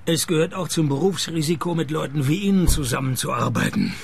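An actor playing a dry, sardonic private detective who narrates and questions people in a radio play, with a deadpan manner. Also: male voice, a little high, brisk, vocal fry, husky.

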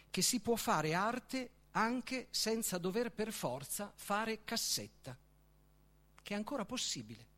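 Male author-reader, high-set voice, widely swinging intonation, declamatory literary delivery. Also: a bit bright. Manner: declamatory, deliberate, with emphatic pauses. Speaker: author reading own book. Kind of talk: literary reading, dramatic recitation.

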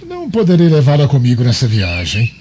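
An actor playing a stern, irritable Roman governor in a radio drama, speaking in a formal, grandiloquent manner. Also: male voice, mid-range voice, huge pitch swings, fairly quick. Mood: formal, authoritative. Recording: muffled lo-fi recording with clear background noise.

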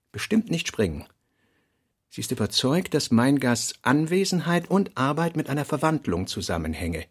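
A man reading literary notes and prose for a radio play, in deliberate, clipped phrases with pauses between them. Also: a little high, husky.

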